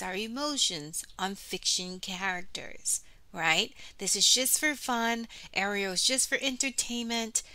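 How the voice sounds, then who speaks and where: A woman hosts storytime for children, moving between narration and instructive asides. She talks slowly in a bright voice, close and dry, addressing listeners directly. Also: medium pitch, no room sound, rough recording.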